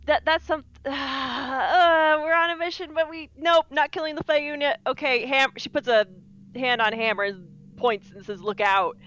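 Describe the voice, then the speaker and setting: A woman's voice: high-pitched, steady tone, moderate pace, fairly bright tone. A woman playing a tabletop game, sardonic and blunt in her casual reactions, on a slightly dull microphone. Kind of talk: animated in-game chat.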